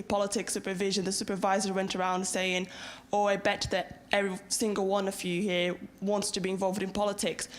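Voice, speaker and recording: Woman, Brazilian accent, young adult, earnest, flat, even delivery. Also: mid-range voice. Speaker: audience member asking a question.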